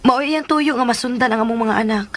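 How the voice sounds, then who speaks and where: High-voiced young Filipina played by an actress, eager, respectful. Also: female voice, a little fast, lightly acted. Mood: troubled. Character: young woman, seventeen, eager to marry, respectful. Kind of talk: Filipino radio drama acting. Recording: faint background noise.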